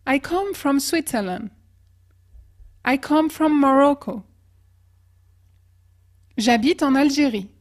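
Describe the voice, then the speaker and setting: High-voiced woman teaching English slowly. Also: expressive. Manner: slow, careful model pronunciation of example phrases. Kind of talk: language lesson with example phrases.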